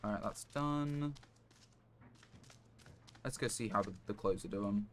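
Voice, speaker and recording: Low-voiced man commentating a game, noisy background. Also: measured pace. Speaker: game streamer. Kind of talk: live gameplay commentary, casual thinking aloud. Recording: clear background noise, clicks.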